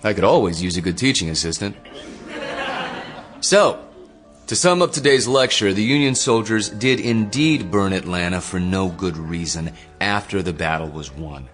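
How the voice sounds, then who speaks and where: History professor for radio drama: very low voice, measured and theatrical, hint of a hidden past. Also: male voice, animated, fairly bright tone, faint music behind. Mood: genial, assured. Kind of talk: modern radio drama acting.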